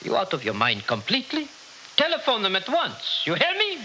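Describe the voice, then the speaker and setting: A man's voice: high-pitched, huge pitch swings, brisk, pushed and bright, heavily acted. A man playing an imperious, easily panicked head of state in a 1960s radio drama, speaking in a pompous, formal, commanding way. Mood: furious, panicked. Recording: noisy old radio recording.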